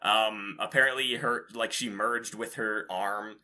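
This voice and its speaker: A man's voice: lowish voice, fairly even intonation, a little slow, bright, forceful tone. A man hosting a podcast, chatting casually about game news in an informal, rambling, casually profane way. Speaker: podcast host.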